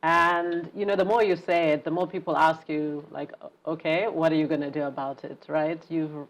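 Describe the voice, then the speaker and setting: Low-voiced woman author from Zambia. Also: steady tone, moderate pace. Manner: laughs easily, fluent, warm storytelling with lists. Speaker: author guest. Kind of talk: relaxed conversational interview.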